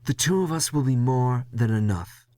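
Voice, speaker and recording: A man's voice: medium pitch, moderate pace, a little mellow, no room sound, studio quality, pitched above the performer's own voice, lightly acted. A man voicing a stern, commanding male crew boss in an audio drama, exasperated and lecturing. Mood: firm, dismissive.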